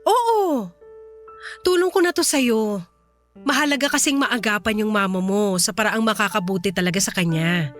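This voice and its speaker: A woman's voice: mid-range voice, animated, normal speed, faint music behind, natural voice. A woman acting a kind, reassuring company boss in a Filipino radio drama, earnest and composed, with a Filipino accent.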